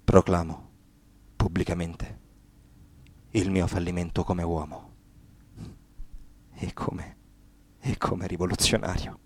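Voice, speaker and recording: An actor playing a weary, despairing political activist in a radio play, speaking haltingly and deliberately. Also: male voice, low voice, level tone, a little hiss or hum. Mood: resigned. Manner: halting, deliberate, with repeated words. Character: weary, despairing young male political activist reading his confession. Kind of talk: radio theatre monologue.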